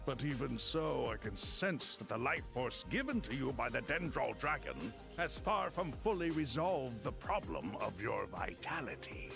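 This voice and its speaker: A man's voice: medium pitch, sing-song, measured pace. A man playing a grave, watchful spirit in a video game, speaking formally and expressively. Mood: grave, concerned. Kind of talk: scripted video game dialogue. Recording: game music clearly behind the voice.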